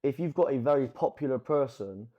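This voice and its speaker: A man's voice: mid-range voice, animated, a little fast, narrow, tinny audio. A man with a Brazilian accent co-hosting a podcast in casual chat, rambling and often restarting his sentences. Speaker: podcast co-host.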